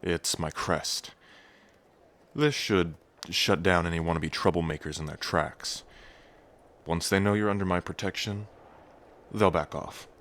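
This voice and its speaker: Give us a brash dragon heir, voiced by a man who teases and shields the listener at once. His voice sits low and bright with real force. The ASMR roleplay sounds recorded in a roomy, echoing space with faint ambience behind it. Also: measured pace. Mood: reassuring. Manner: cocky, teasing and protective. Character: brash young male dragon prince, future king.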